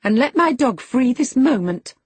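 A spoiled young girl from a storybook, acted by a woman, haughty and demanding. Her voice jumps high and swoops around freely, with an indignant, emotional edge. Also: wildly swooping pitch, a little slow, husky, dry recording, heavily acted. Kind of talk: dramatised audiobook character.

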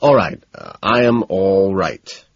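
A man voicing a nervous, overeager well-off man who rushes and stammers while faking composure, in an audiobook. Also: deep voice, animated, heavily acted. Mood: frazzled, rushed. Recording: dull, lo-fi, roomy sound.

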